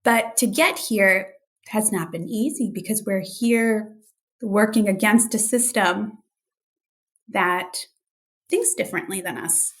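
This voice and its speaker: A woman's voice: medium pitch, slow, a bit bright. A woman speaking as a guest clinician on a podcast, telling personal stories earnestly and often acting out what others said. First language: Urdu and Hindi besides English. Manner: earnest, quotes others' remarks in dialogue. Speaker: guest speech-language pathologist. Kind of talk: podcast interview, personal storytelling.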